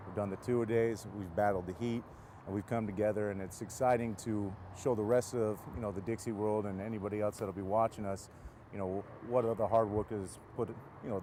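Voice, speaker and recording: Male college coach, fairly deep, earnest conversational interview answers, recorded outside with noticeable background noise. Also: steady tone, a little fast, mellow. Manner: earnest, rambling, leans on "you know". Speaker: college football offensive coach. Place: outdoors near the football stadium. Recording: noisy outdoor setting, traffic rumble.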